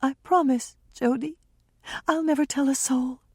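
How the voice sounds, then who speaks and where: A woman's voice: sits high, steady tone, a little slow, darkish tone, dry recording, clean studio sound, voice pushed up. A woman voicing a tearful, fragile young woman. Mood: sad, tearful.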